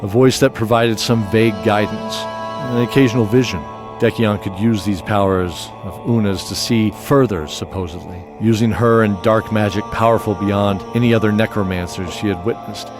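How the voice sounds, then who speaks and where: A man's voice: on the low side, steady tone, moderate pace, music in the background. A man narrating a scripted fantasy story in a descriptive storytelling style.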